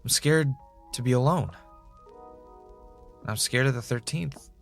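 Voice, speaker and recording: A man acting as an anxious, self-doubting podcast host in an audio drama, talking in a halting, confessional way. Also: medium pitch, vocal fry, soft background music. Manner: hesitant, self-questioning, halting.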